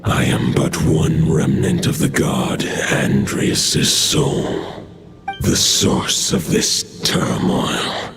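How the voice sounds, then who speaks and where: A man voicing an ancient, lordly wolf spirit guardian in a fantasy game, deep and gravelly, speaking in solemn, archaic phrases. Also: fairly even intonation, extremely slow, exaggerated voice. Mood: grave, resolute. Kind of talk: video game fantasy voice acting. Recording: loud game music behind voice.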